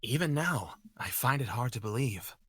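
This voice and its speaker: A man's voice: medium pitch, expressive, normal speed, very noisy, lightly acted. A man voicing an anxious, hesitant young male student in a video game dub. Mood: anxious, earnest. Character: nervous young male student awaiting judgment.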